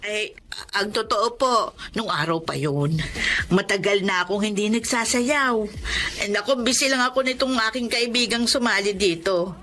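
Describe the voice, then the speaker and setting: A woman acting a protective, bright-voiced elderly grandmother. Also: mid-range voice, moderate pace, a little hiss or hum, close to an everyday voice. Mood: bashful, earnest. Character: protective, loving, poor elderly grandmother selling fish. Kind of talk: Filipino radio drama acting.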